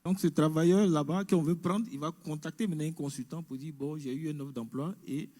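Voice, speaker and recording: A man presents an information session in a large, echoing hall. His voice sits a little high but sounds mellow. He explains each point step by step and drops English words into his French. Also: fairly even intonation, brisk. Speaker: immigration presenter. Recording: echoey hall.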